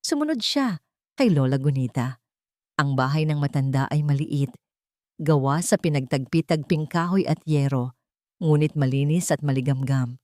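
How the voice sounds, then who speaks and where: Low-pitched woman narrating a dramatic story. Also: moderate pace, darkish tone, no echo, clean studio sound.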